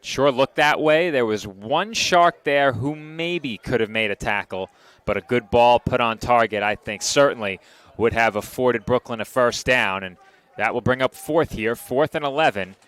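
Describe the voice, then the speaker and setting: Bright-voiced play-by-play football announcer. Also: male voice, medium pitch, clean tone, no room sound. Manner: brisk, precise, lightly wry.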